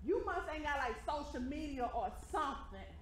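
A woman's voice: high-pitched, animated, moderate pace. A woman acting a sassy, bossy wife in a comedic stage play, with emphatic scolding delivery. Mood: sassy, gossiping. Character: sassy, bossy wife who threatens her husband. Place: theater stage. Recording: roomy theater stage, some noise.

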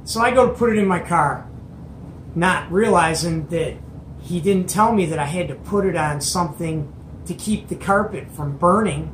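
A man's voice: high voice, moderate pace, a bit bright. Middle-aged male hobby host, chatty emphatic storyteller, recorded in an echoey small room with some background noise. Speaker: host of a CB radio show. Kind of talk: casual storytelling to camera. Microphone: camera or phone at arm's length. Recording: roomy echo, background noise.

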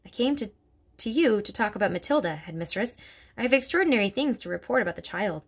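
A woman reading aloud voices a gentle, earnest young schoolteacher who pleads politely. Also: mid-range voice, animated, fast talker, breathy edge. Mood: pleading.

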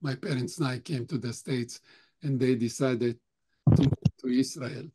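An older man whose first language is German, slowly answering questions at a remembrance talk with many halting pauses. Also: mid-range voice, fairly even intonation, very noisy. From Europe; grew up speaking German, Russian, Romanian. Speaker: Holocaust survivor guest speaker.

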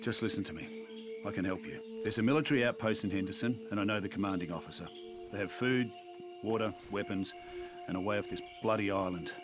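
An actor voicing an earnest, duty-bound army colonel in a video game, measured and insistent. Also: male voice, lowish voice, music in the background, lightly acted. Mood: earnest, pleading. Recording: outdoor insects and background noise.

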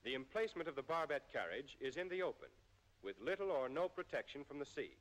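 An American man narrates in the formal style of a 1940s military training film. His voice sits a little high, and he speaks in measured, precisely enunciated sentences. The sound is an old film soundtrack with a long reverberant tail. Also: sing-song.

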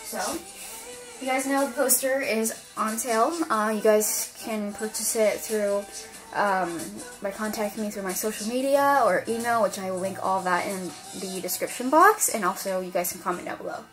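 A woman chatting casually while unboxing an album at her desk, rambling with lots of fillers and her voice going hoarse. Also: medium pitch, normal speed, fairly bright tone, soft background music, rough recording. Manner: rambling, many fillers and restarts. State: losing her voice. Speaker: unboxing vlogger.

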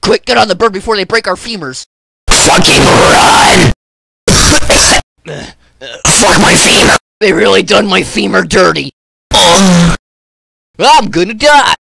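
A man records comedic game voice lines with theatrical exaggeration. His voice sits high and swings widely in pitch. There is clear creak in it, over a faintly noisy recording. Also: expressive, takes it slowly. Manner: exaggerated, theatrical, swearing outbursts.

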